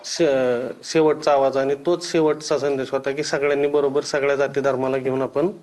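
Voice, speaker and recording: A man giving hesitant, deferential interview answers in a halting way. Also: mid-range voice, level tone, a little mellow. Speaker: interviewee, relative of a politician.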